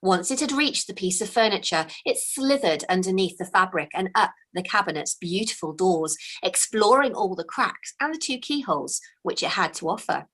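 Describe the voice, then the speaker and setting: A woman author reading her own fantasy storybook aloud, in a slow, expressive, hushed storytelling manner. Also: mid-range voice. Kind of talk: storybook read-aloud narration.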